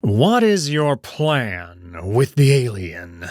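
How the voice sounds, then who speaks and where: A man's voice: mid-range voice, big pitch swings, extremely slow, darkish tone, dry recording, clean studio sound. A man voicing a stern old blind wolf in an audio drama, with a cold, clipped, ominous manner.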